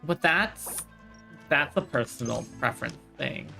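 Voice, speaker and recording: A woman's voice: very deep voice, lively intonation, unhurried, vocal fry, bright, forceful tone. A woman live-streaming a game, giving casual commentary and musing aloud. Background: game music.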